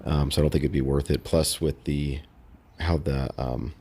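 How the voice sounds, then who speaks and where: A man casually narrating a how-to vlog in a halting, unscripted, matter-of-fact way. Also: rumbling low, flat delivery, dark tone, husky. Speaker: host of a DIY install vlog.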